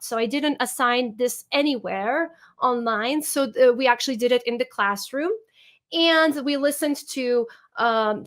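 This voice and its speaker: Woman teacher, hesitant webinar presenter on a video call, slightly high voice. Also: fairly bright tone. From Serbia. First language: Serbian. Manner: hesitant, many filler sounds. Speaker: music teacher.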